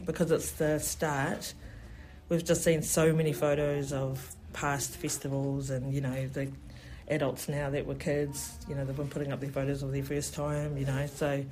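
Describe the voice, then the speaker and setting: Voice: medium pitch, darkish tone, a little hiss or hum. A festival event specialist from New Zealand, with a New Zealand accent, answering interview questions enthusiastically and often saying "you know". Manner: enthusiastic, heavy use of "you know" fillers.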